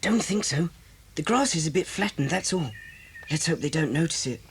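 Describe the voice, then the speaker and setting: A woman playing a laid-back, teasing schoolboy with a husky voice and matter-of-fact manner, in a 1980s children's audio drama. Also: sits low, expressive, a little fast, fairly bright tone, a little hiss or hum. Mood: hushed worry.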